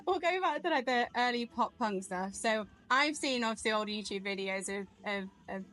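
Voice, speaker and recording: A woman's voice: fairly high pitch, expressive, measured pace, fairly bright tone, low-quality recording. Giggly, chatty woman interviewer. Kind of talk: casual interview chat.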